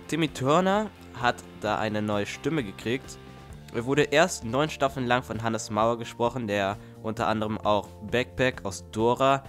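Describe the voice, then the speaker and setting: A man's voice: medium pitch, a bit bright. A young man hosting a casual, flippant video commentary. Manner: flippant, jokey. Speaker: YouTube host. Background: background music with guitar.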